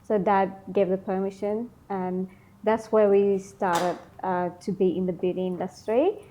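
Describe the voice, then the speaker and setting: A woman from Sri Lanka, in her thirties, answering interview questions casually, often pausing with ums and likes. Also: mid-range voice, steady tone, warm tone, a little hiss or hum, poor audio. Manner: hesitant, many ums and likes. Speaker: interview guest, beauty business founder.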